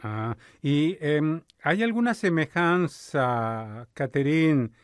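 A man's voice: a little high, lively intonation, takes it slowly, clear, resonant tone, dry recording, studio quality. A man hosting a radio interview, prompting his guest and finishing her sentences.

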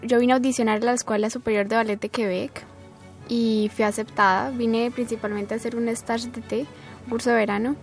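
A young woman from Colombia, a ballet dancer being interviewed on the radio, who talks haltingly and often repeats her words. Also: a little high, level tone, soft background music. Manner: hesitant, repeats words while explaining.

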